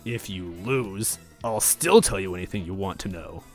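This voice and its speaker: A man voicing a huge, manipulative monster king in a story, with booming, slangy showmanship and a grumbling streak. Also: moderate pace, soft background music, natural voice. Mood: sly, goading. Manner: casual slangy speech with swaggering showmanship.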